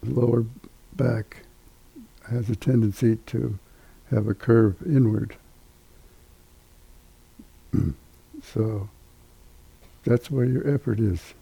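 Very gravelly, slow older man. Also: mid-range voice, warm tone, rough recording. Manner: unhurried, halting, with long pauses. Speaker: meditation teacher. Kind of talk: guided meditation instruction.

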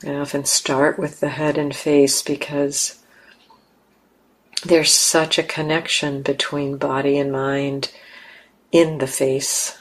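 A woman leading a guided meditation, speaking calmly and gently with long, unhurried pauses. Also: sits low. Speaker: meditation teacher.

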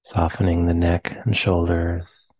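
A man with an American accent, leading a guided meditation in slow, soft phrases with long pauses. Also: deep voice, monotone, dry recording. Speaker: meditation teacher.

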